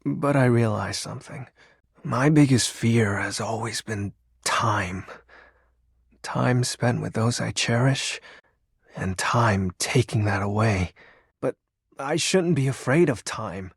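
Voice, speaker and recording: Husky, slow-talking shy lord of darkness. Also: male voice, mid-range voice, dry recording, studio quality, exaggerated voice. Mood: wistful, hopeful. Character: personified darkness, shy sentimental awkward self-styled shadow lord. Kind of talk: video game voice acting.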